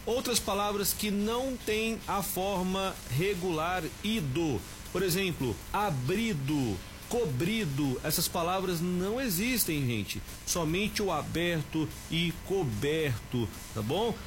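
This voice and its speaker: A man's voice: high voice, animated, takes it slowly, soft background music. A man, a language teacher giving a short grammar tip on the radio and speaking straight to the listeners. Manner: explanatory, addresses listeners as "gente".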